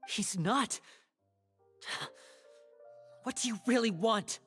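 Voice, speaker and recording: A woman's voice: on the high side, takes it slowly, loud music behind the voice. A woman playing a guarded, defiant young male magician in a video game, pointed and challenging. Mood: alarmed, defiant.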